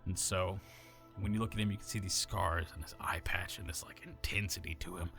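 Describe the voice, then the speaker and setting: A very low-pitched man from the American South, speaking with a neutral accent and a natural gravel. He explains a voice build in a loose, rambling way, with plenty of fillers and restarts. Faint music sits underneath. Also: fairly even intonation, brisk, sharp tone. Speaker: voice actor. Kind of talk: explaining how he builds a character voice.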